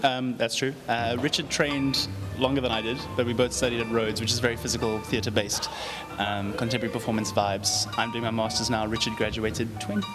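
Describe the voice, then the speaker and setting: Male actor, measured and polite, music clearly behind. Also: medium pitch, flat delivery. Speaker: interviewed actor. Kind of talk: casual interview answers.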